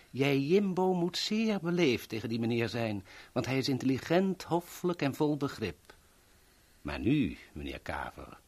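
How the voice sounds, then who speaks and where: Florid, wheedling go-between, swooping intonation. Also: male voice, mid-range voice, husky. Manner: florid, over-courteous, theatrical. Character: polite, wheedling, self-important male shady go-between. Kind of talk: 1970s Dutch radio drama acting.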